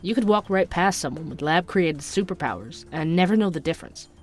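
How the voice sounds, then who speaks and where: Actor doing a young conspiracy podcaster, measured and inquisitive, scripted audio drama narration, music underneath. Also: medium pitch, lively intonation, close to an everyday voice. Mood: intrigued, serious.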